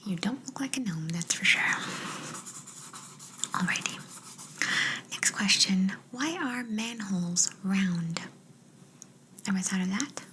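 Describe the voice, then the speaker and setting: A woman's voice: medium pitch, slow, pauses often, noisy background. A woman whispering breathily and playfully as a quirky job interviewer in an ASMR roleplay, close to the microphone. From Canada. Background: writing sounds.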